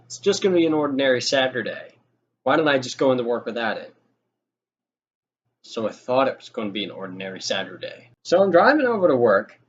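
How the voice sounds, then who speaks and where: Young man rambling through a story, lo-fi audio. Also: medium pitch. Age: young adult. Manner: rambling, informal and profane. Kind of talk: casual storytime monologue. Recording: dull lo-fi audio with noticeable noise.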